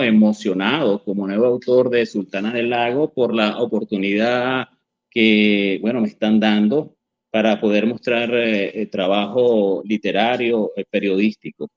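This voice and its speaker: A middle-aged man with a Venezuelan accent giving unscripted interview answers in a hesitant, halting way. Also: medium pitch, highs cut off. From Barquisimeto, Venezuela. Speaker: journalist and author, interview guest.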